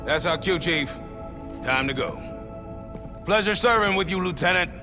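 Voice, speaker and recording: An actor playing a seasoned, gruff older sergeant major with blunt military talk in a cinematic game. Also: male voice, high voice, expressive, moderate pace, music in the background. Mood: resolute.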